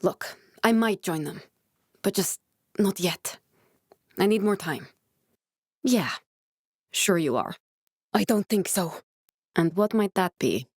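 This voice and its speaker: A woman scientist in a video game, guarded and earnest. Her delivery is measured and clinical, with a dry edge. Her medium-pitched voice sits in a very dry, close recording with no room sound. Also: animated, darkish tone, husky, no echo. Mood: dry, matter-of-fact. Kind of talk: video game character voice acting.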